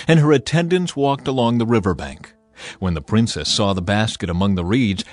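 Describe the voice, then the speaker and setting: A man reading scripted Bible narration in a measured, expressive storytelling manner. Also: fairly low pitch, dry recording. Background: background music.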